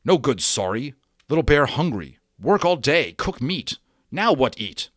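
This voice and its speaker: A man acts out a tiny, fierce warrior from a children's storybook. He scolds in clipped, broken English, indignant and proud, with his pitch pushed well up and swinging widely. The sound is close and dry. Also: sing-song, unhurried, a bit bright, no room sound. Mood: angry, threatening.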